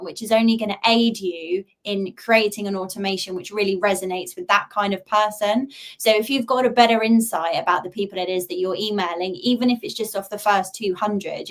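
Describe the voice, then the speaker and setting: A woman speaking as a guest expert in a webinar, explaining her advice in an encouraging, conversational way. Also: medium pitch.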